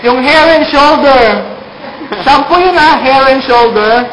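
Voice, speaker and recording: Jokey puppet played by a performer, Filipino accent, unhurried delivery, repeats punchlines, roomy sound. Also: sits high, a bit bright. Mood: playful. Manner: teasing, repeats punchlines and explains them. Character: jokey puppet sending mangled-word greetings to a friend. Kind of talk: playful puppet show greeting, casual. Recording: roomy echo, faint noise.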